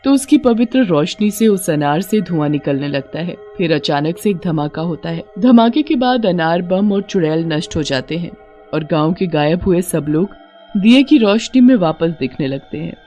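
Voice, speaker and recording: A woman's voice: lowish voice, animated, normal speed, mellow, faint music behind. A woman narrator reading a scripted horror story for a cartoon.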